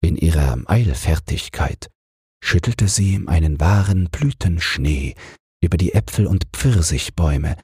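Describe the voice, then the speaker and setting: A man with an extremely low, breathy and husky voice narrates a bedtime fairy tale. His delivery is slow, measured and soothing, recorded dry and close. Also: lively intonation, warm tone, no echo. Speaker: audiobook reader.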